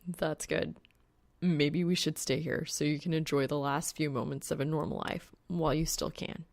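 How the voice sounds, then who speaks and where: Woman voicing a grumbling, creaky young gamer guy. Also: sits low, fairly even intonation, moderate pace, dry recording. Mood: mortified, awkward. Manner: sardonic, profane, awkward. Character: grumbling, easily mortified young male gamer.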